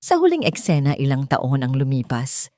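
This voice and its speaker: A woman's voice: a bass voice, huge pitch swings, moderate pace, mellow, dry recording, clean studio sound. A woman reading a scripted dramatic love story as an audiobook narrator.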